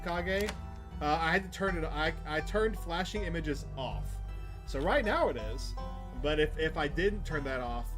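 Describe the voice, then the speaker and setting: A man hosting a gaming livestream, chatting casually and enthusiastically with his viewers. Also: on the high side. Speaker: livestream host. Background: game music.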